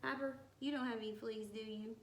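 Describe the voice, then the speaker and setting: A woman teacher reading a rhyming picture book aloud to young children, with deliberate, singsong storybook phrasing. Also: a little high, fairly even intonation. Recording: roomy echo with some background noise.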